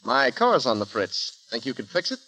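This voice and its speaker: Casting: brash young suitor, mid-range voice, animated and sly, 1930s radio comedy style. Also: male voice, mid-range voice, moderate pace, poor audio. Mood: breezy, sly. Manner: breezy, wisecracking. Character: brash, wisecracking young suitor, cocky and scheming. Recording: hissy 1930s radio broadcast.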